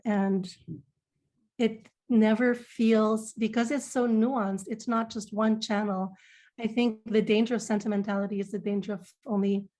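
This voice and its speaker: A woman poet and editor speaking in a thoughtful panel discussion, reflective and pausing mid-phrase. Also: medium pitch, warm tone. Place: remote video call.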